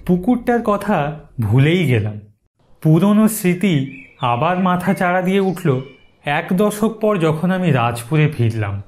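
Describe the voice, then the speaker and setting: A man narrating a horror story in the first person, dramatic and suspenseful, with deliberate pauses. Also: fairly high pitch, darkish tone, slight background noise. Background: music under the narration.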